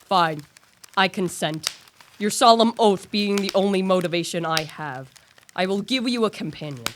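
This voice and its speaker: A woman's voice: mid-range voice, expressive, normal speed, slight background noise, natural voice. A woman acting a troubled, proud young male scientist in a radio play, formal and solemn. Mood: reluctant.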